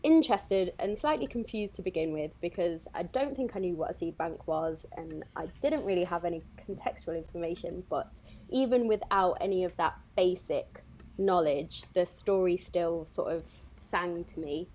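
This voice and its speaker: A woman's voice: on the low side, moderate pace, warm tone. A woman hosting an interview with creative colleagues in a conversational, informal style. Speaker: interviewer and theatre company executive director.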